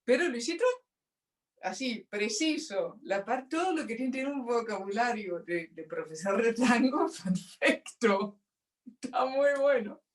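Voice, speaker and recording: A woman's voice: a little high, a little slow. A woman with an Argentine accent, a guest telling stories in a casual chat and laughing often. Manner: lively storyteller, laughs often. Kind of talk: casual conversation.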